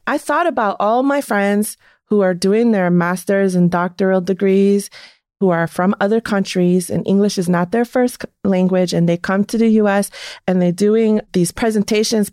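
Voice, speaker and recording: Effusive woman host interviewing an artist, medium pitch, dry recording. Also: a little mellow, no echo. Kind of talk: podcast interview.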